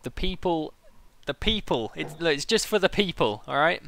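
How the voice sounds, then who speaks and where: A man's voice: on the high side, lively intonation, moderate pace, creaky, a bit bright, slight background noise. A man hosting a casual live interview, speaking informally with stop-start phrasing as he steers the conversation. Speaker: interviewer, live stream host.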